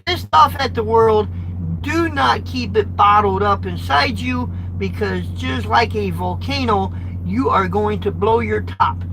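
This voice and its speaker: An American man with a high-pitched voice, a trucker vlogger chatting live with viewers from his truck cab in a blunt, rambling way. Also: a little slow, creaky, poor audio. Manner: rambling, blunt, restarts often. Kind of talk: live-streamed chat with viewers.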